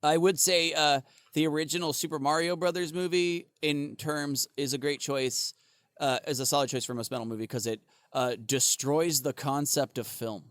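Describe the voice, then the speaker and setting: A man's voice: fairly high pitch, steady tone, moderate pace, fairly bright tone, clear, resonant tone, no echo, studio quality. A man co-hosting a podcast in casual chat, rambling and swearing, laughing often and full of fillers. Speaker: podcast co-host.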